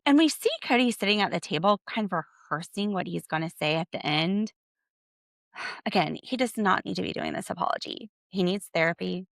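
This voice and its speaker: A woman hosting a casual reality TV recap podcast, tossing out sarcastic, sassy jabs. Her voice has a gravelly, fry-laden texture and sits at an average pitch. The sound is dry and close, with no room around it. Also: a bit bright, no echo. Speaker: podcast host.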